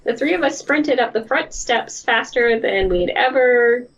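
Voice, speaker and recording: A woman's voice: fairly high pitch, a little slow, fairly bright tone, lo-fi sound. A woman co-hosting a podcast, chatting casually and reading a spooky story aloud. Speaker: podcast co-host.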